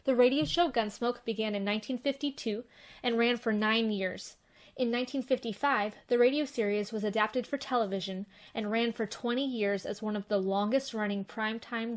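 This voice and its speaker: A woman giving a scripted introduction as the director of a radio drama. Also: on the high side.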